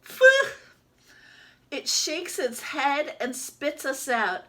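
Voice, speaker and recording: A woman reading a children's picture book as a small, timid yellow toy duck, with expressive, dramatic narration. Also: sits high, extremely slow, pitched above the performer's own voice, heavily acted. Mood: frightened, excited.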